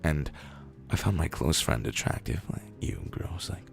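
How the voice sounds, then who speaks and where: A man with a hoarse, gravelly voice playing a defensive, bragging teenage football jock who stammers and blusters in a comic reading. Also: rumbling low, expressive, a little slow, in a whisper, soft background music, full character voice. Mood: nervous bluster.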